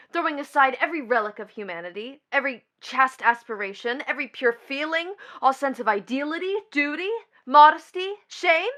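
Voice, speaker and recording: Actress as a scornful, sharp-voiced young stepdaughter. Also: female voice, high-pitched. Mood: disgusted. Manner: dramatic, emphatic, mocking. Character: bitter, scornful young stepdaughter, proud and wounded. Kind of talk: theatrical stage play acting.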